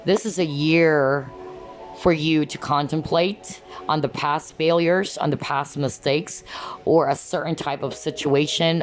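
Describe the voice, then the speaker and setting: Low-pitched lady doing a tarot reading, voice getting hoarse, soft music behind. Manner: earnest, repeats "okay?" to viewers. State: losing her voice. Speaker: tarot card reader. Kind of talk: pick-a-card reading to camera.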